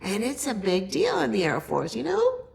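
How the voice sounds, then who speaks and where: A woman's voice: a little high, huge pitch swings, normal speed, gravelly, darkish tone. A woman guest on a podcast casually telling an anecdote, voicing quoted exchanges in a conversational way.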